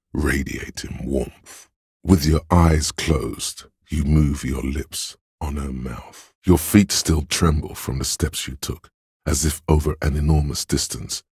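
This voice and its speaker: A very deep, heavily rasping inner voice from a narrative video game. It coaxes and advises with measured, deliberate drama, intimate and insinuating, in a dry, close sound. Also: male voice, darkish tone, no echo, full character voice. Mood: hushed, poetic. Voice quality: deep, gravelly, husky. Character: smooth, insinuating inner voice of social intuition, coaxing, intimate. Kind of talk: scripted game narration as an inner voice giving social advice.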